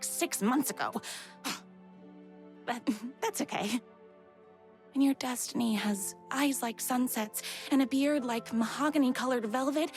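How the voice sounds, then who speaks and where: An actress playing a bubbly, self-adoring waitress and obsessed superfan in a comic game, gushing and dreamy. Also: female voice, a little high, lightly acted. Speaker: narrator and lead character. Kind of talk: comic scripted narration and game dialogue.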